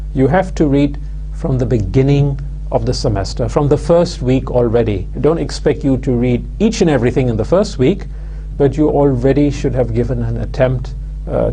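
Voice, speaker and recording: A man, giving a recorded university lecture in a measured, hesitant way full of "uh" and "um" fillers. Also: mid-range voice, expressive, warm tone, slight background noise. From London, England. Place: studio with plain backdrop.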